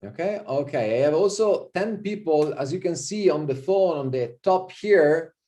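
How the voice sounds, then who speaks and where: A man from Italy with an Italian accent, a visiting professor giving an online university lecture on a video call, relaxed and full of fillers and repeated "okay". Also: a little high, normal speed. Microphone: computer mic on a video call.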